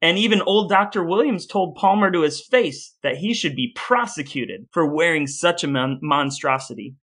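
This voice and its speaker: A man's voice: a little high, moderate pace, a bit bright, slightly rough. A man co-hosting a podcast, telling history informally and reading out quoted accounts. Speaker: podcast co-host.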